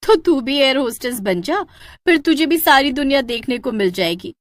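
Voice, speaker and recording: Woman voicing an excitable, high-pitched college-girl best friend. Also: normal speed, no room sound, clean studio sound. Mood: eager, encouraging. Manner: emphatic, urging, exclaiming. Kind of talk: animated cartoon story dialogue.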